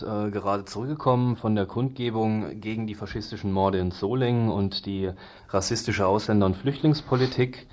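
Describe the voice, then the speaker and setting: Male studio reporter, fairly low pitch, unscripted and halting delivery. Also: a little mellow. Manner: halting, searching for words. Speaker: radio presenter and reporter. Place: radio studio. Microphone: studio microphone.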